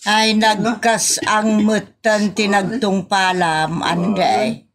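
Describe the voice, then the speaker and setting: A woman with an Ilocano accent playing a reproachful village woman in an audio comedy-drama, with drawn-out, exclamatory lines. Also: mid-range voice, steady tone, a crowd in the background.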